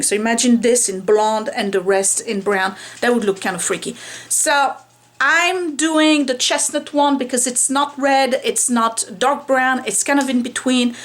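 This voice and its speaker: A woman in her forties chatting candidly in a home vlog, rambling a little with fillers, filmed by a window at home. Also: fairly high pitch. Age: 40s. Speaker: vlogger. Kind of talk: casual home hair-care vlog.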